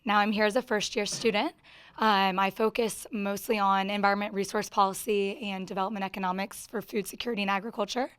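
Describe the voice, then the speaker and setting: Young female student, medium pitch, smooth voice, brisk informal introduction. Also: fairly even intonation, a bit bright, no room sound. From Kentucky, United States. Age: 20s. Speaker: graduate student panelist.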